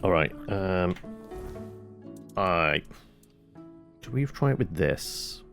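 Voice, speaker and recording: Gravelly low-voiced man narrating gameplay. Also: animated, extremely slow, over music. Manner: muttering thoughts aloud while playing, casual swearing. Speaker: gaming channel host. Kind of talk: casual let's-play commentary.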